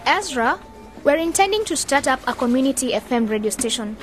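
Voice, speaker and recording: High-voiced assertive young woman. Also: animated, fairly quick, soft background music. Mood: earnest. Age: young adult. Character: sharp young woman, planning a community radio station. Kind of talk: radio drama acting.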